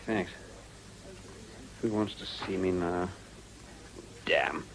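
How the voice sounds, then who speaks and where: An actor playing a courteous, formal, slightly weary gentleman politician in a period radio drama. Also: sits low, very slow, creaky, a little hiss or hum, full character voice, poor audio. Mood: irritated. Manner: urbane, formal.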